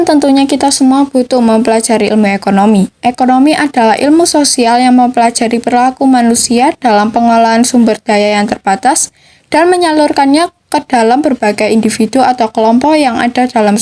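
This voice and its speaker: Teenage girl, higher-pitched voice, scripted educational narration, student podcast presenter. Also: a little fast, a little mellow. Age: teens, high school student.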